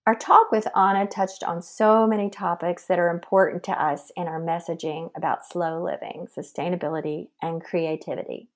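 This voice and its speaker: A woman speaks in a slightly low voice. She delivers a prepared introduction as a podcast co-host, reading from a script. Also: fairly even intonation, normal speed, few pauses.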